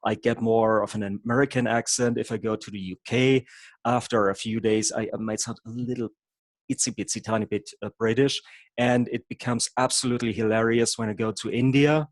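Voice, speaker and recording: A man's voice: mid-range voice, steady tone, a little fast, no echo. A man from Germany with a German accent, a hypnotherapist presenting an online workshop in an explanatory way, with occasional stumbles over words. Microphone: video call.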